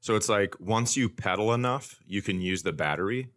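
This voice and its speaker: A middle-aged American man telling stories casually and comically, with an animated, blunt, ranting manner and some swearing. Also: on the low side, moderate pace. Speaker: podcast host, comedian. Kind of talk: casual comedic storytelling.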